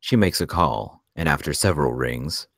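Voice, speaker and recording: A man narrates a screenplay in a very deep voice. He reads out scene and action directions as scripted narration. The sound is dry, with little room. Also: expressive, moderate pace, creaky, a bit bright, no echo. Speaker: narrator reading stage directions.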